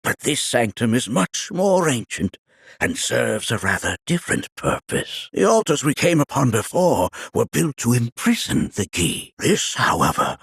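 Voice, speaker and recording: An actor playing a wise, kindly, very old village elder in a video game, raspy and creaky, speaking in formal, archaic, theatrical phrases like a storyteller. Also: male voice, mid-range voice, very animated, a bit bright, no room sound, studio quality, exaggerated voice. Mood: solemn, explaining. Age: older. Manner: formal, archaic, measured storytelling with dramatic flourishes.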